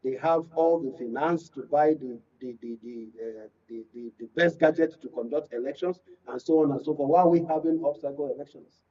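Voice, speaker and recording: A husky Nigerian man with a slightly high, mellow voice speaks as a guest on a political panel. He is deliberate and emphatic, repeating himself for weight. The sound is dull and picked up from a distance. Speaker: panel guest from the creative industry. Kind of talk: political discussion on a radio and TV panel. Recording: muffled, distant-mic, lo-fi studio pickup.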